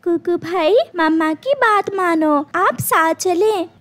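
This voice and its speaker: A woman's voice: very high-pitched, slow pace, a bit bright, pitched above the performer's own voice, heavily acted. A woman voicing a dutiful little girl bird, polite and earnest, in a cartoon. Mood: pleading.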